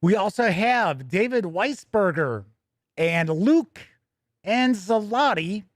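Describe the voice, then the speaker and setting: A man's voice: high-pitched, lively intonation, slow pace, no room sound, clean studio sound. A man hosting a casual live stream, chatting to viewers in a folksy, easygoing way. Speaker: host of a tabletop gaming news live stream.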